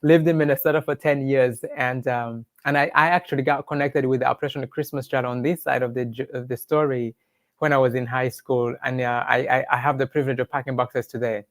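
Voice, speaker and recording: A man's voice: medium pitch, steady tone, fairly quick, no echo. Rwandan-accented man giving earnest testimony. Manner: earnest, devout storytelling. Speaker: interview guest sharing testimony. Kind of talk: personal testimony in an interview.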